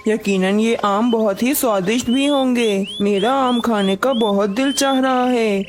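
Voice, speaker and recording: A woman's voice: on the high side, measured pace, slight background noise, close to an everyday voice. A woman voicing a friendly, simple male crocodile who sounds eager, in a cartoon story. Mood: craving, plaintive.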